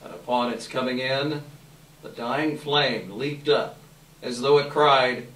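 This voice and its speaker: A man narrating a classic story at a live reading, with measured, theatrical and emphatic phrasing. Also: medium pitch, fairly bright tone. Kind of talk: dramatic scripted narration, live readers theater. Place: indoor live event room. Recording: echoey room, distant mic, faint noise.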